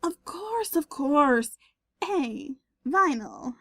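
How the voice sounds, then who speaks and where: Woman acting a smug, lively unicorn mare. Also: high-pitched, extremely slow, gravelly, dry recording, clean studio sound, heavily acted. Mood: smug mocking. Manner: knowing, mocking, self-assured. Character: smug, mischievous female unicorn pony. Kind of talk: fan audio drama acting.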